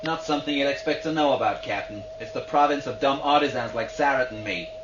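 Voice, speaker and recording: Actor as smug menacing male hijacker, mid-range voice, sardonic, unhurried, noisy old radio drama. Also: mid-range voice, muffled recording, close to an everyday voice. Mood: smug menace.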